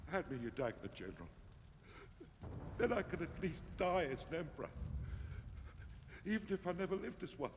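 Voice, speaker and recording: An actor playing a cowardly, panicking Roman emperor who whines and pleads in a game cutscene. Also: male voice, fairly high pitch, very animated, moderate pace, pauses often. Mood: pleading. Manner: whiny, pleading, then screaming for guards. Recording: reverberant hall with loud background noise.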